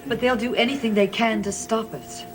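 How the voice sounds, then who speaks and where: A woman's voice: a little high, fairly even intonation, normal speed, noisy background, close to an everyday voice. Earnest, anxious woman, played by an actress. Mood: anxious, pleading. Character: anxious, loyal woman in a love triangle. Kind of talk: 1960s gothic soap opera acting.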